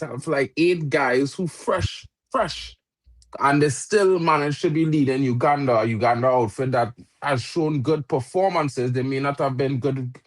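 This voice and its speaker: A man hosting a live football watch-along, reacting loudly to chances and chatting with guests, English not his first language. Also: medium pitch. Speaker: watch-along stream host.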